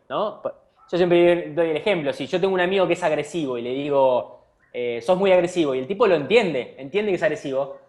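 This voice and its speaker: Argentine man giving earnest startup advice. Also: a little high. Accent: Argentine Spanish. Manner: earnest advice-giving, frequent fillers and restarts. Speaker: startup CEO being interviewed. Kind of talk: conversational interview answers.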